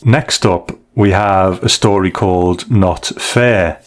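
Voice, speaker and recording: A man's voice: sits low, takes it slowly. A British man in a casual podcast chat, giving candid, conversational reviews of stories as a co-host. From United Kingdom.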